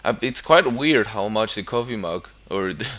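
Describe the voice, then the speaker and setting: A young Danish man with a deep, low voice talks through his game build in a casual, unscripted way. He speaks English as a second language with a clear Danish accent, stumbling over restarts and fillers and chuckling now and then. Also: expressive, normal speed, fairly bright tone, a little hiss or hum. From Denmark. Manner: hesitant, many fillers and restarts, chuckles often. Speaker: gamer. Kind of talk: casual gameplay commentary.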